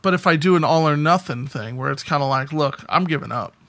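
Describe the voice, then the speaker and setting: Higher-pitched man chatting casually. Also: a little fast, a bit bright. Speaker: podcast co-host. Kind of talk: casual chat, telling personal anecdotes.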